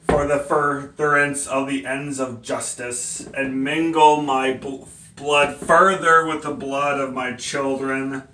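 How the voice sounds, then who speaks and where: Man acting a slow, forceful condemned abolitionist captain. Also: on the high side. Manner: halting, emphatic oratory with stumbles. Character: defiant, devout condemned abolitionist captain addressing the court. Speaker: poet and playwright performing a monologue. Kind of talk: dramatic courtroom speech, theatrical monologue. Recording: echoey room, distant microphone.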